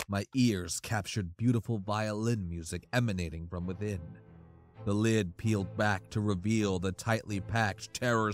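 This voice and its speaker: A man narrating a scripted comic horror story in a dramatic, profane storytelling manner. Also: on the low side.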